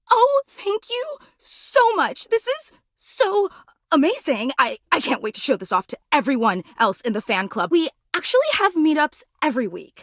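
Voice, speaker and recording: High-pitched, gushing female superfan. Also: fairly bright tone, no room sound, clean studio sound. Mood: adoring, awed. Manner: breathless gushing, flustered stammering and giggles. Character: giddy, starstruck female electric fan, adoring and apologetic superfan. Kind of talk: video game character acting.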